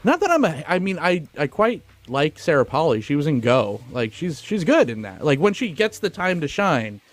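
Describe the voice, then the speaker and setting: A man's voice: on the high side, sing-song, moderate pace. A man hosting a film podcast in casual chat, swearing freely and joking crudely.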